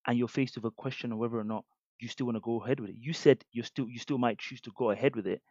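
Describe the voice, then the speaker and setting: Fast-talking man, stammering restarts. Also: mid-range voice, fairly even intonation, darkish tone, no room sound, dull audio. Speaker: podcast co-host. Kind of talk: heated debate.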